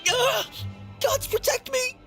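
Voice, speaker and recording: A man's voice: very high-pitched, steady tone, slow pace, gravelly, loud music behind the voice, heavily acted. A man voicing a timid young undertaker in a video game, polite, stammering and easily terrified. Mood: panicked. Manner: stammering, pleading.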